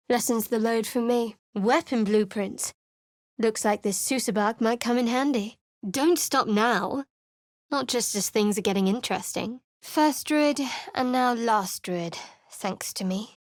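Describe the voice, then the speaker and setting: A woman's voice: fairly high pitch, no room sound, lightly acted. An actress playing a guarded young woman cleric in a video game, speaking in a dry, cutting, sardonic way. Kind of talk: video game character dialogue. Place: studio voice booth.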